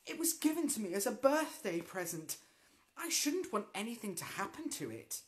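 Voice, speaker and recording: A man's voice: mid-range voice, sing-song, a little slow. A man voicing a spoiled, sly schoolgirl witch with a whiny, scheming way of talking in a storybook reading. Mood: pleading.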